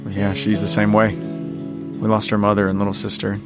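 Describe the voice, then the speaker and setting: An actor playing a weary, protective middle-aged father in a post-apocalyptic audio drama, speaking in a hesitant, low-key way. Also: completely flat delivery, moderate pace, lightly acted. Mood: subdued, reflective. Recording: music under the voice.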